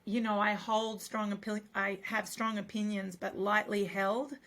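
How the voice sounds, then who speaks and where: Husky-voiced woman losing her voice. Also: mid-range voice, little pitch movement, measured pace. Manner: chatty, warm filler-heavy talk with many restarts. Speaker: host. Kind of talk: casual conversation.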